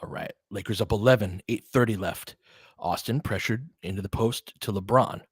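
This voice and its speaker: A man's voice: on the low side, normal speed, husky, no echo, clean studio sound. A man doing basketball play-by-play commentary in clipped phrases, with informal asides and casual analysis.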